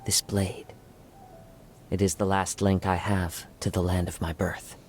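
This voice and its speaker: A male actor voicing a calm, refined young wandering samurai poet in a video game, speaking in measured, formal, poetic phrases. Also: low-pitched, faint music behind. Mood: calm, reflective. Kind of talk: video game character voice lines.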